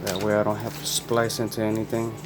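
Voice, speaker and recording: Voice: medium pitch, little pitch movement, a little fast. A person narrating an unboxing in a casual, laid-back, informal way. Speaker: unboxing video host. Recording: loud music behind the voice.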